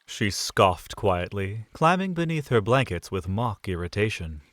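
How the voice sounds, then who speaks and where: A man narrating a romantic fan audio drama, measured and soft. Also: on the low side, very animated, no echo.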